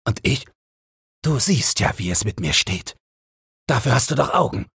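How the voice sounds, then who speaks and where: Vengeful old father for a literary audiobook, performed by a male reader: mocking, condemning, breathy-rough voice, recorded very dry. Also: medium pitch, very animated, normal speed, fairly bright tone, no room sound, pitched above the performer's own voice, exaggerated voice. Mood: bitter, accusing. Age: older. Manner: taunting, declamatory, condemning. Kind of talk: dramatic audiobook character reading.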